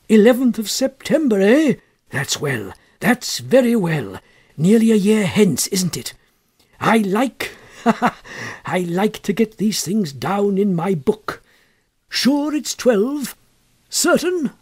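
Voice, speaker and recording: A man voicing an elderly, austere but kindly gentleman scholar in a story, fussy and eager, hesitating and repeating his questions. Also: high voice, very animated, slow, breathy edge, pitched above the performer's own voice, exaggerated voice. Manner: hesitant, repetitive, fussily questioning.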